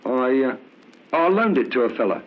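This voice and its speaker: A sullen young ex-convict in a vintage crime radio play. He is slangy and evasive, his pitch swinging defiantly, and the recording is muffled. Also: very animated, normal speed. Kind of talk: 1950s radio drama acting.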